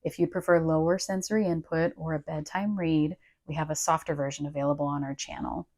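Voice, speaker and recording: A woman explains tips for parents in an informal, instructive way. Her voice sits low and soft-toned, with noticeable vocal fry. She comes across as an encouraging teacher. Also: fairly even intonation, fairly quick. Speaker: speech-language pathologist and channel co-host.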